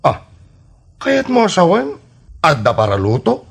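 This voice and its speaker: A man's voice: sits high, huge pitch swings, a little slow, gravelly, breathy edge, full character voice. A man playing an amused, teasing buddy who chides his friend in an Ilocano radio comedy drama.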